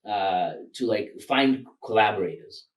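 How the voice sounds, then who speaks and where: A man's voice: on the low side, unhurried, distant mic, rough recording. A man from Rwanda, Kinyarwanda his first language, talking loosely as a filmmaker guest with many fillers and laughs. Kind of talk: casual interview.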